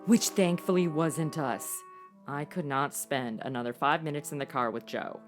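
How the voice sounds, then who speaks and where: An actress playing a sassy, impatient modern twenty-something in a comedy audio drama, flippant and gossipy with plenty of slang. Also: female voice, sits low, normal speed, faint music behind, natural voice. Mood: whiny, chatty.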